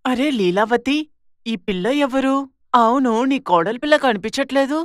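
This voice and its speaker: A woman's voice: fairly high pitch, animated, normal speed, dry recording, studio quality, natural voice. A woman voicing a judgmental, gossipy older family friend in a moral story cartoon, full of disapproval. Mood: disapproving.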